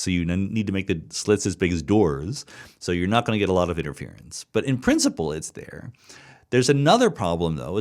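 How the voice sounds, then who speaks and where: Deep-voiced man explaining physics. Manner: thoughtful, explains step by step with repeated words and restarts. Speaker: podcast host answering listener questions. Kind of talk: solo explanatory monologue.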